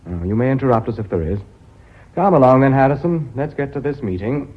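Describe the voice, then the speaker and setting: A man's voice: medium pitch, animated, fairly quick, lightly acted. An actor playing a formal, harried vice chairman of a company in a radio drama, with an English accent. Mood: brisk. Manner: formal, authoritative, businesslike. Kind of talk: British radio drama acting. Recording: dull lo-fi radio recording.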